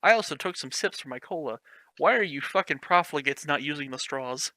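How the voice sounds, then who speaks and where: A man with a piercingly bright, fairly high voice reads a badly written story aloud, mocking its phrasing. He swears casually and keeps cracking himself up. The sound is close and dry. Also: expressive, a little fast, no echo. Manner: mocking, giggly, swears freely. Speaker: reader of a fan fiction. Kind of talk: comedic dramatic reading with commentary.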